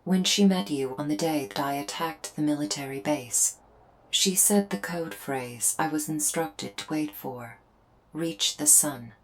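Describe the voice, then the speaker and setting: A woman's voice: deep voice, unhurried, poor audio. A woman playing a solemn alien invader soldier in a roleplay audio drama, speaking in a stilted, formal, earnest way. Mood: explaining, solemn. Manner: stilted, formal, literal speech. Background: background music.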